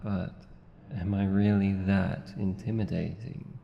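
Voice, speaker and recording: A low, gravelly Australian male demon played by a man speaks unhurriedly in an intimate ASMR roleplay, swathed in long reverb. He is a menacing night creature with an awkwardly tender streak. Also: steady tone, slow pace, slight background noise, heavily acted. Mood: taunting. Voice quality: deep, gravelly. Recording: slightly dull, long reverb.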